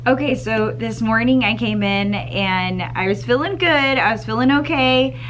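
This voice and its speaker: A second grade teacher with a slightly scratchy voice, chatting upbeat to camera in a school classroom, clearing her throat now and then. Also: a little high, a little slow, fairly bright tone. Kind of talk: casual vlog chat to camera.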